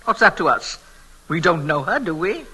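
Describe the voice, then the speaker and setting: An actress playing a sharp, irritable old invalid aunt, snappish and dismissive, in 1940s radio drama style. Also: female voice, lowish voice, sing-song, moderate pace, slightly rough, heavily acted, poor audio. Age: older.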